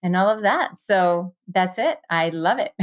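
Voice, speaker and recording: A woman from Israel with an Israeli accent, an investor telling her career story in a quick, enthusiastic conversational way with many fillers. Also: medium pitch, expressive. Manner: fast, enthusiastic, many fillers and "you know". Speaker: guest, tech investor.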